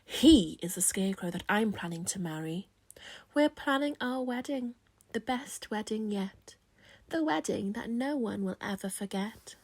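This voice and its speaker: A woman's voice: mid-range voice, lively intonation, unhurried, a little mellow. A woman voicing a devoted, steadfast female scarecrow bride in a storybook, earnest and firm. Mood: resolute.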